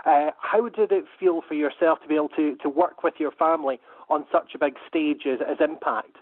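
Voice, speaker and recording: A man politely asking an interview question over the phone as a radio journalist, pausing between phrases. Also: fairly high pitch, breathy edge, dry recording. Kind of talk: polite press-call question. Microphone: telephone. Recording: thin, narrow phone conference line.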